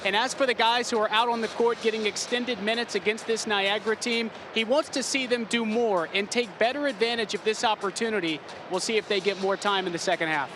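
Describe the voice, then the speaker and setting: A young man with a high-pitched voice delivers sports commentary and reports. His delivery is polished and broadcast-style. There is clear noise behind him. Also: brisk, hardly any pauses. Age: early 20s. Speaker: sports play-by-play announcer and reporter.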